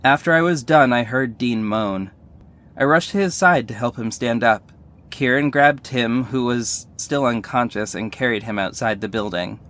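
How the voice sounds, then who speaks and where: Audio drama lead for a male actor: earnest young hero, emotional first-person narration, medium-pitched voice. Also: normal speed, fairly bright tone, a little hiss or hum, lightly acted. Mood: tense.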